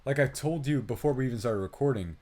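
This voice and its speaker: Guy on a movie podcast, rambling opinions, medium pitch, just chatting. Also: fast, a little mellow. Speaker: podcast co-host and film reviewer. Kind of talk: casual movie review chat.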